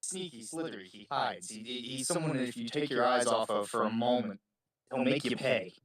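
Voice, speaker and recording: A man answering interview questions casually, explaining himself in measured short phrases. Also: medium pitch. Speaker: interviewed voice actor.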